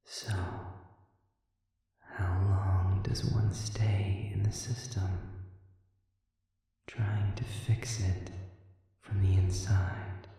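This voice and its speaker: A man playing a sadistic, manipulative demon prisoner in an audio roleplay, speaking in a soft, measured, insinuating murmur with deliberate pauses. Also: low voice, flat delivery, exaggerated voice. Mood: cool, accusing. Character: sadistic demon prisoner, calm, manipulative, silkily taunting male. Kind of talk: scripted audio roleplay monologue. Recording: distant, reverberant, echoing chamber sound.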